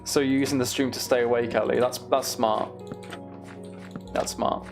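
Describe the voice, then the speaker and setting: A man with a noticeably creaky, gravelly voice talks casually on a gaming livestream. He muses aloud to himself and to his viewers in a conversational way. Faint music plays behind him. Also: mid-range voice, fairly even intonation, moderate pace. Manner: musing aloud, reads and answers viewer comments. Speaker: live streamer.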